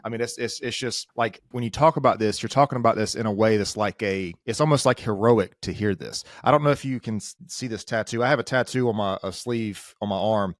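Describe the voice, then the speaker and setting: A man's voice: on the low side, dry recording, clean studio sound. A man speaking as a podcast guest about fitness, talking casually and with enthusiasm, often repeating himself. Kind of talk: casual conversation.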